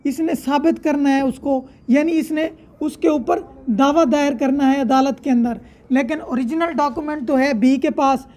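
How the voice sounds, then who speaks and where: A high-voiced woman with a Pakistani accent teaches as a law lecturer. She moves between reading formal wording and explaining it in a didactic way, often putting questions and then answering them herself. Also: steady tone, moderate pace, darkish tone.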